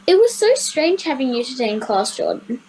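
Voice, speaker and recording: A woman's voice: high voice, big pitch swings, normal speed, darkish tone, soft background music. A girl of ten or eleven, playing a chatty, curious schoolgirl in a radio play. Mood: amused. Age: child, 10 to 11. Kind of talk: children's radio play acting. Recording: faintly noisy home video-call recording.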